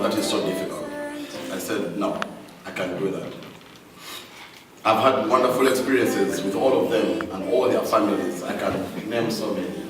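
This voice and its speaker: Man speaking in an echoey hall. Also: fairly low pitch. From Uganda. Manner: warm and grateful, addressing the crowd directly. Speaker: speaker thanking supporters at an event. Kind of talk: heartfelt speech to an audience. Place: large hall with an audience. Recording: echoey hall, distant mic, loud music.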